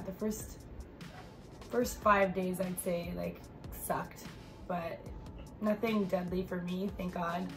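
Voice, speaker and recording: A woman in her thirties vlogging in casual chat to a phone camera in a bright living room, sounding stuffy and congested and rambling with many fillers. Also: lowish voice, poor audio.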